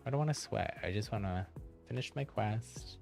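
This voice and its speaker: A man's voice: on the low side, normal speed, gravelly. A man doing casual live game commentary, thinking aloud in a mild-mannered way. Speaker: game livestreamer. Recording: loud game music behind the voice. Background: loud game music.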